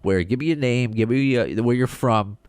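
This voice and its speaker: A man from the United States hosting a solo podcast, talking in an excited, rambling way with many restarts. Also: medium pitch, moderate pace, clean tone, dry recording. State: short on sleep. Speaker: podcast host.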